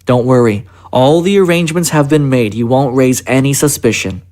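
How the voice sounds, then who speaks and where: A man playing a polite, secretive presidential chief of staff in an audio drama, with formal, measured speech. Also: medium pitch, darkish tone, close to an everyday voice. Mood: courteous, assured.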